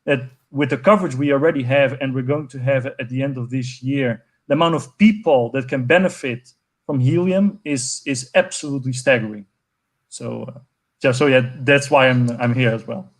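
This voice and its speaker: A man's voice: medium pitch, normal speed. A man in his twenties from Portugal, a guest speaking enthusiastically over a video call with many fillers and restarts. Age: 20s. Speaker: guest, network co-founder. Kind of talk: conversational interview answer.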